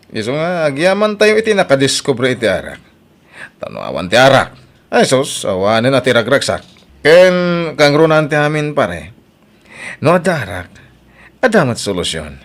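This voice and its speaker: A man with an Ilocano Filipino accent playing an exasperated, defiant husband in an audio drama, emphatic and expressive. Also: mid-range voice, a little slow, pauses often, no echo. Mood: boisterous, drunk. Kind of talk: radio-style audio drama acting.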